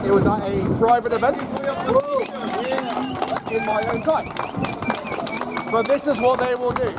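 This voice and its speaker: A man from Hong Kong giving a personal account to a gathering, in a halting, measured way. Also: very high-pitched, over a noisy crowd. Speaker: guest speaker, honorary lecturer. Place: outdoors in an urban public space. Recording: very noisy outdoor street with traffic.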